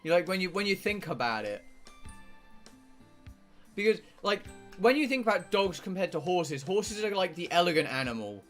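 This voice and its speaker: Man, southern English accent, bright forceful voice, slightly high pitch, sweary casual streamer rambling, music behind. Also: sing-song, normal speed, long pauses. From England. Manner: sweary, blunt. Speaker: game streamer. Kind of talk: casual rambling livestream chat.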